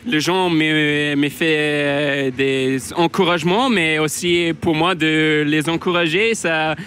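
Male English Canadian runner, non-native French, slow and hesitant, bright tone. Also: on the high side, fairly even intonation. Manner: slow and hesitant in French, with many pauses and searching for words. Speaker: runner interviewed after a race. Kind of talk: casual interview answers.

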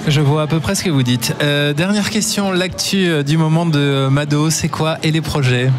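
A man, hosting a relaxed, chatty radio interview at an outdoor festival. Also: on the high side. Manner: relaxed, chatty, fills pauses with "euh". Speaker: radio host. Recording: music playing behind.

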